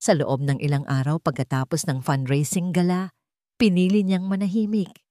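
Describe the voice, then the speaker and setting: A woman's voice: lowish voice, flat delivery, moderate pace, dark tone, dry recording, clean studio sound. A woman with a Filipino accent reading a scripted dramatic story as its narrator.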